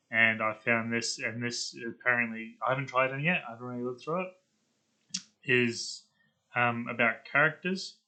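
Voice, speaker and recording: A man's voice: mid-range voice, bright, forceful tone, rough recording. A man hosting a video in a casual, rambling chat, thinking aloud as he goes. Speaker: video host.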